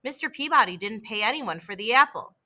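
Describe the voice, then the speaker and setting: A woman's voice: on the high side, lively intonation, a little fast, pushed and bright. A woman reading the lines of an earnest schoolboy in a children's storybook. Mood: suspicious. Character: earnest, suspicious, later sorry schoolboy.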